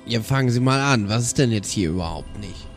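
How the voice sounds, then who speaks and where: Man playing a breezy, mid-pitched cheeky seafarer. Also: expressive, fairly quick, faint music behind, close to an everyday voice. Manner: casual, bantering, breezy. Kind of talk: improvised tabletop audio play.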